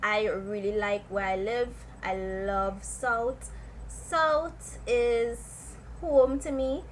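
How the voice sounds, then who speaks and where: A young woman from South Trinidad with a Trinidadian accent, chatting casually to camera in a lively way with local slang. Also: a little high, very slow, slight background noise. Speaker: vlogger.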